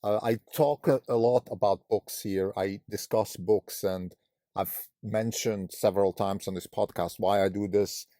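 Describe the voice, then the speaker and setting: Low, mellow Swiss-born podcast host. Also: male voice, steady tone, measured pace, dry recording, studio quality. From Switzerland. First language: German. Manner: thoughtful, hesitant, with fillers and restarts. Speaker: podcast host and fund manager. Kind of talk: solo podcast monologue.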